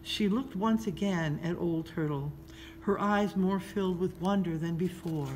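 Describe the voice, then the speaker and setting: A woman's voice: on the low side, unhurried. A woman narrating a children's storybook expressively as a story reader. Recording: clearly noisy background ambience.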